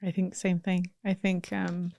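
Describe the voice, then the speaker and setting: Woman, lowish voice, very unhurried, halting and earnest, casual podcast guest. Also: level tone, gravelly, warm tone, dry recording. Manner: earnest, halting, pausing mid-thought. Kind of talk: casual heartfelt talk.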